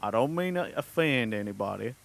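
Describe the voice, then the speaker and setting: A man's voice: mid-range voice, expressive, fairly quick, vocal fry, a bit bright. British man putting on a Southern cowboy drawl. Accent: put-on American Southern cowboy drawl. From United Kingdom. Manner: self-conscious, profane, playful rambling in a put-on accent. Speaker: livestreamer talking to chat. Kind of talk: casual livestream chat.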